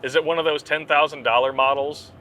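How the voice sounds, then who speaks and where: A man co-hosting a loose comedy podcast, reading news aloud and joking about it. His voice is bright and a little high. Faint background noise sits under the talk. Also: fairly even intonation, brisk. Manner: chatty, laughs often, riffs on stories. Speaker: podcast co-host.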